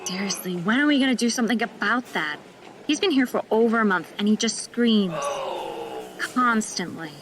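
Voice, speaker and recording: An actress playing a new young female nurse who is blunt and sharp-tongued. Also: a little high, lively intonation, normal speed. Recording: noisy background.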